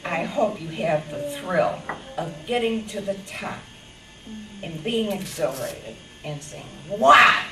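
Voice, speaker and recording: A woman's voice: fairly low pitch, lively intonation, slow pace. A woman in her eighties from western New York giving a heartfelt anniversary speech, big-voiced and storytelling, laughing as she talks. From western New York, USA. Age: 80s. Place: party room. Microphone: handheld microphone passed around. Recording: echoey room from far off with chatter.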